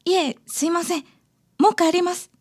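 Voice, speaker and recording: Woman as a high-voiced shy schoolgirl. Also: steady tone, moderate pace, a little mellow. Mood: shy, apologetic. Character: shy, polite schoolgirl transfer student. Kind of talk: radio drama acting.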